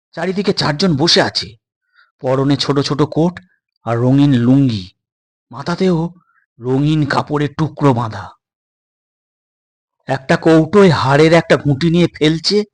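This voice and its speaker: A man's voice: a little high, husky. A man narrating a suspense story as a storyteller, in a dramatic scripted style.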